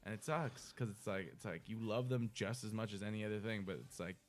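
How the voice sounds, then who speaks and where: A man's voice: lowish voice, a little fast. A man co-hosting a casual podcast, chatting in a rambling way with plenty of swearing. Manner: rambling, profane. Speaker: podcast co-host. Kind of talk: casual uncensored podcast chat.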